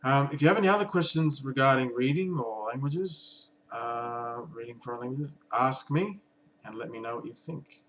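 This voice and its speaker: A man's voice: mid-range voice, moderate pace, fairly bright tone, low-quality recording. Man, mid-range voice, casual hesitant vlog delivery, faint noise behind. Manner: thoughtful, hesitant, many ums and restarts. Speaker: language learning vlogger. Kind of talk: casual talk to camera.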